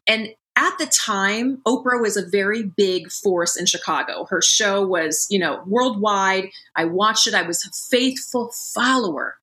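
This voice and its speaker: Chatty bright-voiced woman guest. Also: mid-range voice, rough recording. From Columbus, Ohio. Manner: chatty, frequent restarts and fillers. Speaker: guest, lactation company founder. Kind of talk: conversational podcast interview.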